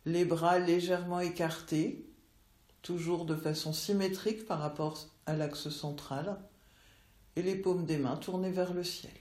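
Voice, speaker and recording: Woman doing a guided yoga meditation, really slow, long pauses, roomy echo. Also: fairly low pitch, a little mellow. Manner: measured, instructional phrasing with long pauses. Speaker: yoga nidra teacher. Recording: roomy, echoey voice recording.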